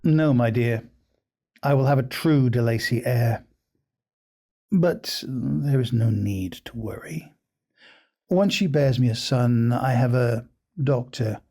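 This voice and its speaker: A man playing a coldly ambitious aristocratic gentleman in an audio drama, speaking in a formal, suave, measured way. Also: medium pitch. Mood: cold scheming. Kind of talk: scripted audio roleplay drama.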